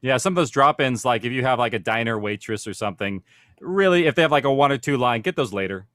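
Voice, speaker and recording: A man with a mid-pitched voice speaks as a production professional on a panel. He explains things in a casual, informal way, and the sound is dry with very little room. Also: animated, fast talker, a bit bright, no room sound.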